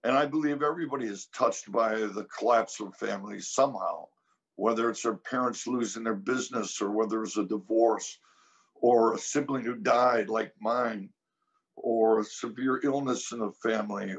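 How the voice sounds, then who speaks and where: A man's voice: lowish voice, normal speed, vocal fry. Earnest man giving personal testimony. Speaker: cannabis farm brand founder, panel guest.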